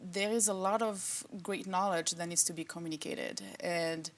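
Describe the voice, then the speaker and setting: A middle-aged woman from France, a professor answering interview questions in a relaxed, thoughtful way with many fillers. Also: lowish voice, normal speed. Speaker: agriculture professor and department chair.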